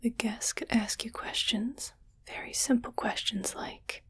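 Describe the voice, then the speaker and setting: A rich alien lady acted by a woman speaks in an airy, hushed whisper for an ASMR roleplay. Her delivery is slow and deliberate, with a lightly raised pitch. She sounds detached and curious, talking down to her human pet with cool condescension. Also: steady tone. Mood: musing, patronizing. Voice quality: breathy whisper.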